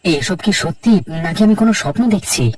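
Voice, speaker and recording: A man's voice: sits high, lively intonation, fast. A man voicing an earnest, caring young office-working son and husband in a children's cartoon. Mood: surprised. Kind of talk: Bengali children's cartoon voice acting. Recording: distant voice with background music.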